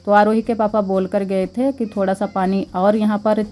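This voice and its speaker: A young woman with a sore, slightly hoarse throat, chatting casually as she narrates her daily vlog about household chores at her village home. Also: mid-range voice, level tone, normal speed, dark tone. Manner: chatty, homely, thanks viewers. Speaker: vlogger. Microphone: clip-on mic.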